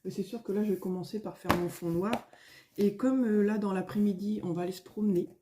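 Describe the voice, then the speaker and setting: A middle-aged woman, a little hoarse, chatting casually at home in a vlog, rambling with many fillers and restarts. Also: mid-range voice, steady tone, brisk, mellow. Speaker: coloring vlogger. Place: at home, indoors.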